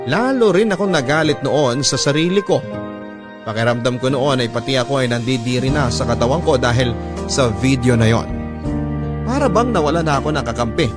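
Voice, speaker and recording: A man's voice: medium pitch, expressive, brisk. A man with a Filipino accent narrating a first-person dramatic story as a radio drama storyteller. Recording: music behind the voice.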